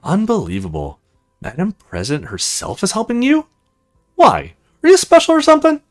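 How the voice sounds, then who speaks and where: A man's voice: high-pitched, huge pitch swings, normal speed, voice pushed up. Man reading as blunt, moody young woman student. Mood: curious, incredulous. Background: music.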